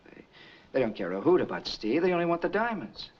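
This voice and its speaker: Slangy, cold-blooded noir crook. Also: male voice, fairly high pitch, sing-song, a little fast. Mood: brisk, businesslike. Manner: slangy, tough talk. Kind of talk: 1940s film acting. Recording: muffled, hissy 1940s film soundtrack.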